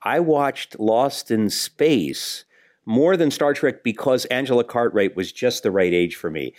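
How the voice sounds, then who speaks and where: An older man in a casual, reflective panel discussion, speaking in a halting, thoughtful way. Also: mid-range voice, lively intonation. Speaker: podcast panelist.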